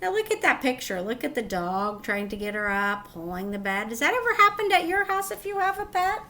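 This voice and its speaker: A woman teacher reading a picture book to young children, expressive and instructive, pausing to ask questions. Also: fairly high pitch. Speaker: teacher reading aloud to pupils.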